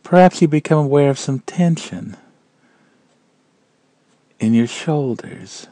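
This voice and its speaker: Slow, mellow man guiding a meditation. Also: a little high, dry recording. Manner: calm, measured, gentle phrasing with long pauses. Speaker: meditation guide. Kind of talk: guided meditation narration.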